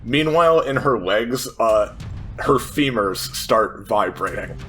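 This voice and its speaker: A man playing a tabletop game, casually narrating what a character does in halting, hesitant phrases. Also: fairly low pitch, expressive, fairly bright tone, soft background music, low-quality recording.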